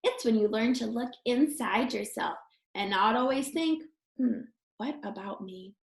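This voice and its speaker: High-voiced woman reading to kids, roomy sound. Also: a little slow, gravelly. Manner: encouraging, addresses young listeners directly. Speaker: teacher reading a picture book aloud to children. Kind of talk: expressive children's story read-aloud with encouraging asides.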